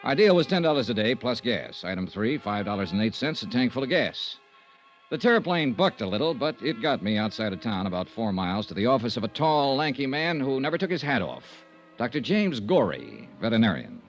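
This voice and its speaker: An American actor playing a dogged, cool insurance investigator in 1950s radio detective style, clipped and dry. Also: male voice, mid-range voice, big pitch swings, brisk, soft background music. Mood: wry, matter-of-fact. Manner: clipped, dry, persistent questioning. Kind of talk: 1950s radio detective acting, first-person narration. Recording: dull vintage radio recording.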